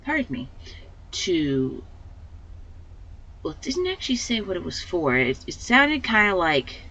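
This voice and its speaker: A woman with a slightly hoarse voice hosting a let's play, giving casual commentary and thinking aloud as she plays. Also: lowish voice, big pitch swings, unhurried, pushed and bright, highs cut off. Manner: rambling, thinking aloud with fillers.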